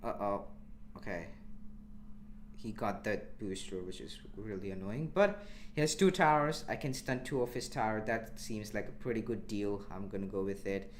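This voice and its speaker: A young man from India commentating his live game stream in a relaxed, chatty way, answering chat as he plays. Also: on the low side, normal speed, slight background noise. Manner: relaxed, chatty, with frequent restarts and small laughs.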